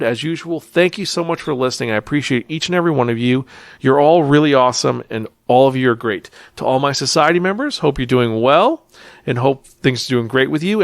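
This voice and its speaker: A man's voice: mid-range voice, vocal fry, no room sound, studio quality. A man hosting a review podcast in a casual, enthusiastic and emphatic way, speaking directly to listeners. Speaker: podcast host and manga reviewer.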